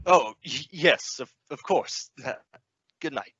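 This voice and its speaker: Man playing anxious-to-please middle-aged dad, animated swooping pitch, repetitive, flustered, radio play style, muffled recording. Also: slow, husky, no echo, heavily acted. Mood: hearty. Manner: repeats words, flustered hearty delivery. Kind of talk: old-time radio suspense acting.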